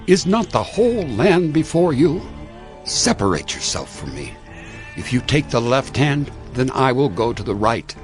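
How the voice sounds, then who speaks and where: Man playing an earnest, animated biblical patriarch. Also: mid-range voice, unhurried, faint music behind. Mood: earnest, conciliatory. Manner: earnest, declamatory appeal. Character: Abram, earnest conciliatory patriarch. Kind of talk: dramatized scripture acting.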